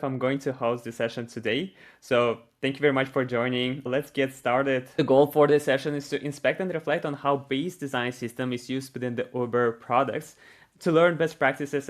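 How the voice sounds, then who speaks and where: A man hosting an online design panel, upbeat and quick to praise each speaker. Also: medium pitch. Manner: upbeat, repeats short praise. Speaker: host.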